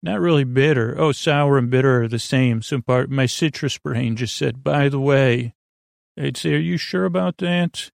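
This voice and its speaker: A man hosting a sleep podcast with a creaky voice, rambling in a soothing, meandering, silly way and fumbling his words as he improvises. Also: mid-range voice, moderate pace, dry recording, studio quality. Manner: soothing, lulling. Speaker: podcast host.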